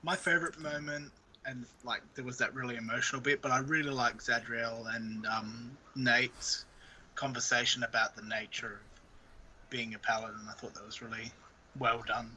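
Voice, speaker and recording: A man's voice: mid-range voice, fairly even intonation, moderate pace, pushed and bright, a little hiss or hum, low-quality recording. A man playing in a tabletop game, thoughtful, trailing off between his phrases. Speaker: player.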